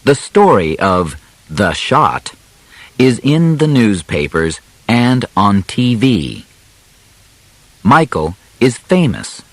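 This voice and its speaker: A man with a low voice reads an audiobook in simple language. His delivery is slow and deliberate, phrase by phrase, with very animated, swooping intonation. The recording is dry and close, with no room sound. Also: dry recording. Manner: deliberate, clearly separated short phrases. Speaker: audiobook narrator. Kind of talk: scripted storybook narration in simple graded language.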